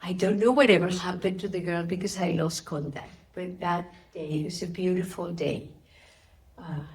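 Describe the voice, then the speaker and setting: Female interviewee, middle-aged or older, Spanish accent, slightly low register; an emphatic spiritual teacher sharing her experiences. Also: steady tone, normal speed, darkish tone. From Spain. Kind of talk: interview answers with personal storytelling.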